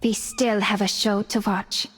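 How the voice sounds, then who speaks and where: Actress as a composed, enigmatic society leader. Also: female voice, mid-range voice, flat delivery, a little slow, natural voice. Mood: composed, inviting. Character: composed, enigmatic woman leading a secret circle. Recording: loud music behind the voice.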